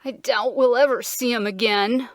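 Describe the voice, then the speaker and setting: A woman's voice: fairly high pitch, steady tone, normal speed, no echo, lightly acted. A woman voicing a gloomy, doubtful male dromedary with a scoffing manner.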